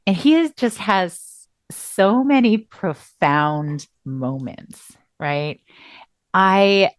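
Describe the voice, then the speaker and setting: A woman's voice: low-pitched, dry recording, clean studio sound. A middle-aged woman co-hosting a podcast, chatting casually and acting out quotes as she tells stories, her voice still recovering from a cough. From Southern California. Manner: expressive storyteller, acts out quotes. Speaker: podcast co-host. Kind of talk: casual chat.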